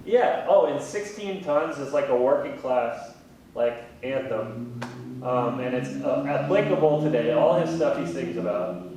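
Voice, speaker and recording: A man in his thirties chatting casually in an interview, with a wry, gently self-deprecating way of talking. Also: expressive, unhurried, a crowd in the background. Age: 30s. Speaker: museum communications specialist, interviewee. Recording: very echoey room, distant mic, faint rumbling noise.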